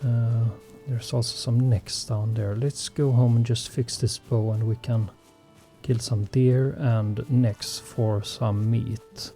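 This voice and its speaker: A Swedish-born man talks through his survival gameplay at an unhurried pace, pausing often on ums and uhs. His voice is mellow and mid-pitched. Faint game music plays underneath. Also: fairly even intonation. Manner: slow, hesitant, many fillers. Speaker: gaming channel host. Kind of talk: relaxed gameplay commentary.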